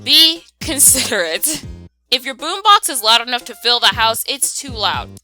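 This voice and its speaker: A woman's voice: a little high, animated, normal speed, sharp tone, faint music behind. A young woman delivering a casual scripted rant in an exasperated, sassy and opinionated way. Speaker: video creator.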